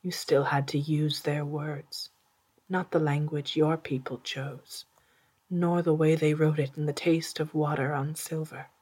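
A storyteller of ambiguous gender narrating a literary tale in a soft, hushed, breathy voice, with measured and deliberate phrasing. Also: medium pitch, fairly even intonation. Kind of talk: scripted literary narration in second person, storytelling.

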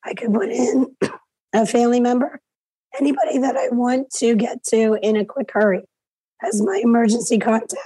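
A hoarse woman teaching a class over a video call, explaining each step aloud and often clearing her throat. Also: on the high side, normal speed, mellow, dry recording, poor audio. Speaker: instructor.

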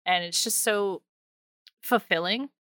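Female co-host, crisp bright delivery, very informal and expressive, conversational slang, close dry sound. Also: mid-range voice, slow, dry recording. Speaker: podcast host. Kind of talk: casual podcast chat.

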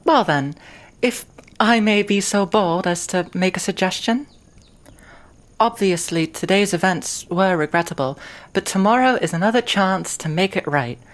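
A woman playing a gentle, earnest and caring friend in a fan audio drama, speaking in formal, measured, careful phrases. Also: mid-range voice, natural voice. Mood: polite, tentative.

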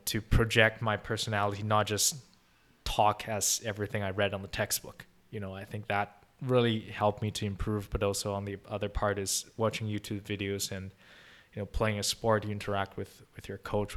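Low-voiced Chinese man chatting. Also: monotone, normal speed, fairly bright tone. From China. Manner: thoughtful, many restarts and fillers. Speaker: podcast guest. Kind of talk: casual interview chat.

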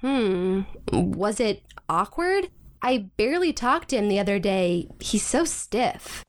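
An actress playing an outspoken, sarcastic, hot-tempered young sister in a modern audio drama, with a blunt, dramatic, slangy delivery. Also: female voice, medium pitch, sing-song, slow pace. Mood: casual, curious.

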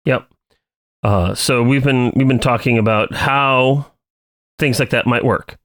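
A man hosting a podcast in a casual chat, leading the talk with many pauses, fillers and restarts. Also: mid-range voice, a bit bright. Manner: hesitant, many fillers and restarts, leads the show. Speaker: podcast host.

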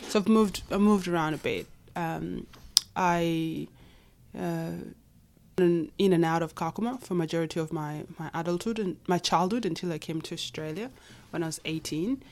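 A woman in her thirties, raised in Kenya with Nuer as her first language, answers interview questions with many pauses and fillers. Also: fairly low pitch, a little mellow. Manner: hesitant, many fillers and restarts. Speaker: guest. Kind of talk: interview conversation.

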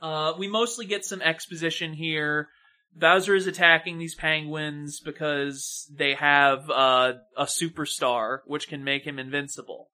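A podcast co-host chatting casually about films in an animated, opinionated way, with some swearing. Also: medium pitch, measured pace. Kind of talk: casual film discussion chat.

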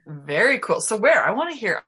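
A woman's voice: mid-range voice, sing-song, fairly quick, a bit bright, husky. A woman hosting a podcast in a casual, chatty interview style. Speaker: podcast host.

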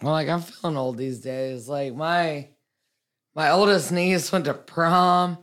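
A woman with a husky voice in casual podcast chat, blunt and swearing freely, with many "like" fillers. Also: deep voice, slow pace, a bit bright.

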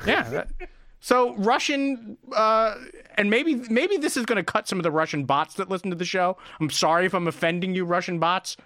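A man co-hosting a sports podcast, talking in an animated, rambling way with many restarts. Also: sits high, moderate pace, dry recording, studio quality. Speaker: podcast co-host. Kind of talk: casual comedic sports chat.

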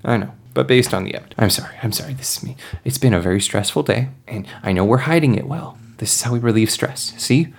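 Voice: mid-range voice, fast, gravelly, few pauses. A player voicing a short, bossy pirate ship captain in a tabletop sci-fi game, bantering with breezy, absurd confidence.

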